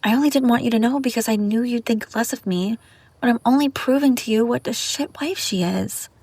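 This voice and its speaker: A woman's voice: on the high side, fairly quick, darkish tone, dry recording. A woman playing an obsessive, scheming young babysitter in an intimate audio roleplay, pleading and defensive. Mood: confessing, insistent. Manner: pleading, defensive, hesitant then insistent. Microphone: close, dry microphone.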